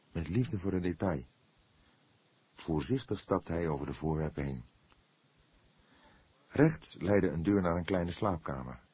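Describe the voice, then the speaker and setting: Deep, husky male audiobook narrator. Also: a little mellow, no room sound. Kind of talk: dramatic scripted narration of a crime novel.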